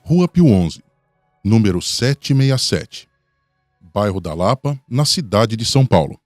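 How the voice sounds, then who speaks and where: A man's voice: on the low side, very animated, takes it slowly, a little mellow, no room sound, clean studio sound, faint music behind. A man with a Brazilian accent giving scripted narration for a radio documentary.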